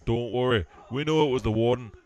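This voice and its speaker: A man's voice: medium pitch, fairly quick, darkish tone, lo-fi sound, lightly acted. A man playing a brusque, authoritative police officer in an amateur comedy audio drama, curt and commanding. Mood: brisk, commanding.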